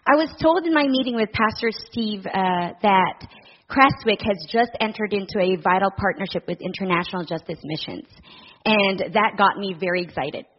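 A middle-aged woman from the Philippines addresses a church congregation as a charity director. She speaks with earnest warmth and a personal touch, dotted with soft fillers. The sound is a little dull. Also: medium pitch, moderate pace. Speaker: director of a family services charity.

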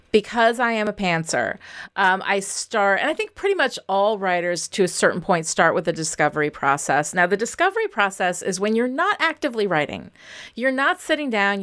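A woman's voice: mid-range voice, fairly bright tone. A woman giving casual, instructional advice as a writing coach, speaking in a candid, emphatic, conversational way. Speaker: writing coach and novelist, interview guest.

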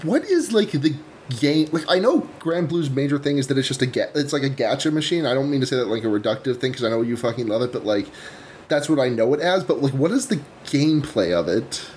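Lively, joking man over a noisy mic. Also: mid-range voice, fast talker. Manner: jokey, self-mocking, stumbles over words. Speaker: podcast co-host. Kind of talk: casual chat. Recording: clear background noise on the mic.

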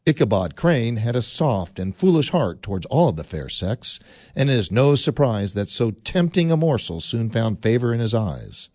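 A man narrating a radio play in a measured storytelling manner. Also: medium pitch, big pitch swings, a little mellow, dry recording.

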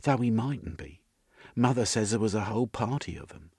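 A man's voice: fairly low pitch, lively intonation, moderate pace, darkish tone, slightly rough, no room sound, studio quality, pitched above the performer's own voice. A man voicing a shy, uneducated young London woman of eighteen in an audiobook, speaking in simple dialect phrases. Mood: shy, curious. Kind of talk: audiobook character voice.

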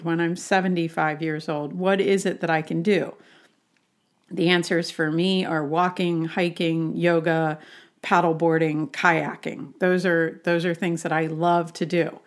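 A woman talking as a health coach, explaining things conversationally with questions and examples. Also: fairly low pitch.

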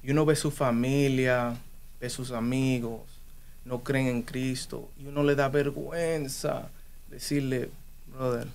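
A man's voice: mid-range voice, fairly even intonation, a little slow, gravelly, darkish tone. Guy preaching in a chat, earnest and emphatic. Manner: passionate, emphatic.

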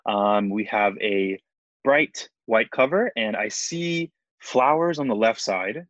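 A man's voice: lowish voice, lively intonation, takes it slowly, fairly bright tone, dry recording, muffled recording. A young man chatting casually as a guest, speaking hesitantly with many fillers and frequent laughs. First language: not Japanese. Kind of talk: casual chat.

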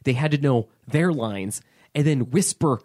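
A man's voice: mid-range voice, a little slow, darkish tone. A man co-hosting a podcast, recapping a film in loose casual chat, rambling with fillers and laughs. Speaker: podcast co-host.

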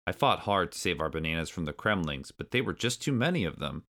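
Storybook sidekick: man plays eager kid monkey, earnest, loyal, bright and animated. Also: low voice, a little fast, full character voice. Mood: apologetic. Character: plucky young monkey sidekick, loyal and apologetic.

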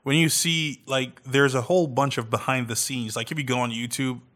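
Casual male podcast host, medium pitch. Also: fairly even intonation, normal speed, fairly bright tone. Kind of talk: casual chat.

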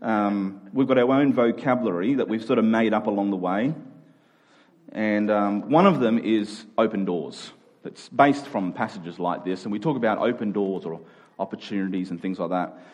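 A man's voice: lowish voice, moderate pace, darkish tone. A man preaching a conversational sermon in an informal but emphatic way, repeating key phrases to drive them home.

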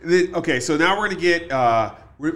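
A man co-hosting a podcast, retelling a movie in a casual, profane, animated way with lots of fillers. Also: a little high, brisk. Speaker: podcast co-host. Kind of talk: casual movie-review chat.

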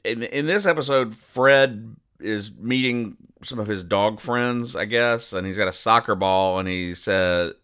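A man hosting a podcast in a casual recap style, with a deadpan, dryly sarcastic delivery. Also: fairly low pitch, measured pace, clean tone. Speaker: podcast host. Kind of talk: casual comic-strip recap monologue.

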